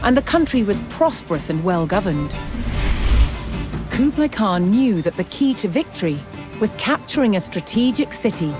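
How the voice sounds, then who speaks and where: Woman doing dramatic history doc narration, measured w/ deliberate pauses, medium pitch, music playing behind her. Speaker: documentary narrator. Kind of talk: scripted historical documentary narration. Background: background music.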